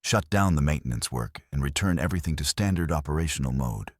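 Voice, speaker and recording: A man's voice: extremely low pitch, quick pace, slightly rough, no echo, studio quality, exaggerated voice. A man reading as a curt ship captain. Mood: dismissive. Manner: flat, firm, dismissive. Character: curt dismissive middle-aged male ship captain.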